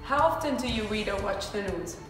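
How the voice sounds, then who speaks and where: Upbeat female interviewer, mid-pitched, long hall echo from a distance, music behind. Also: lively intonation, brisk. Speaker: student playing a survey reporter. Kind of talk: scripted mock news interview.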